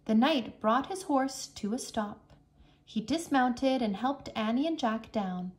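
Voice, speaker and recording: A woman teacher narrating a children's story aloud in a careful, expressive storytelling manner. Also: on the high side, slow pace. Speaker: English teacher reading aloud.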